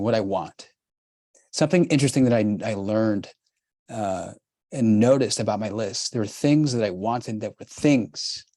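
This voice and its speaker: A man's voice: fairly low pitch, a little mellow, no echo, clean studio sound. A middle-aged man hosting a workshop, coaching the group in an emphatic, urging way with lots of repetition.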